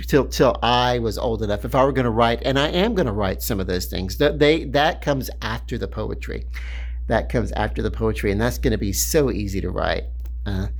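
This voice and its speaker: A man in his fifties with a voice that is high-pitched for a man, talking in a rambling, halting monologue full of fillers and restarts. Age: 50s. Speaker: writer talking to his channel.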